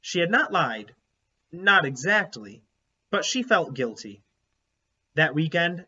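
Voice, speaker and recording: A man's voice: on the high side, pushed and bright. A man reading a children's book aloud like a teacher, expressively and with explanatory asides. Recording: slightly dull, lo-fi sound.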